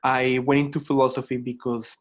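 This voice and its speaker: A man in his thirties from Colombia, a native Spanish speaker with a Colombian accent, introducing himself to students as a lecturer in a reflective, halting way. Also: medium pitch, level tone. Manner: reflective, halting, with repeated words. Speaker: adjunct philosophy lecturer. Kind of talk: informal self-introduction to students.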